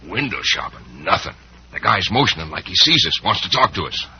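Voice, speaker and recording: An actor playing a nervous, grumbling burglar, edgy and impatient, in an old-time western radio drama. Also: male voice, low voice, very animated, a little fast, sharp tone, heavily acted. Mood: jittery, irritated. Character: nervous, grumbling male burglar, the junior partner. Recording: noisy, muffled old radio recording.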